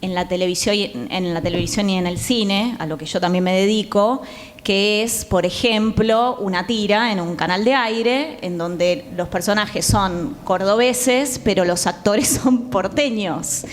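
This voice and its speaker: A woman's voice: medium pitch, measured pace. In a reverberant hall with faint background noise, a woman with a Rioplatense Argentine accent talks as a panel guest. She is colloquial, stopping and starting as she slips into asides. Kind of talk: informal panel talk. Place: theatre, speaking on stage.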